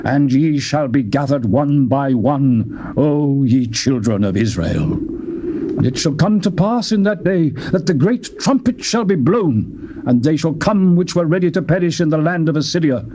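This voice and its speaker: A man with a British accent gives a dramatic, theatrical scripture reading, declaiming with sweeping rises and falls in pitch. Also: lively intonation, a little slow, mellow. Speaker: Bible reader. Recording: noisy old tape recording.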